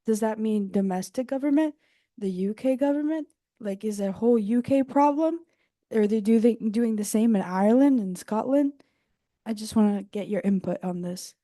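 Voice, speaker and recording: A woman's voice: fairly high pitch, moderate pace, mellow, no echo, clean studio sound. A young woman in the audience asks a panelist a question, phrasing it casually and searching for words. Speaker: audience member asking a question. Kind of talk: conference Q&A question.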